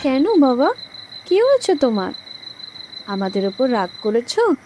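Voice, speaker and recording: A woman playing a high, swooping young mother. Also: very animated, measured pace, warm tone, slight background noise. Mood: worried, coaxing. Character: scolding, cold young mother and daughter-in-law. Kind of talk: audio drama acting.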